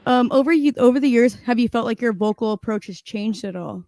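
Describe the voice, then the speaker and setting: A woman's voice: fairly high pitch, brisk, a little mellow. A young woman hosting an interview, chatting casually with frequent likes and mm-hmms. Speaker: interviewer, podcast host.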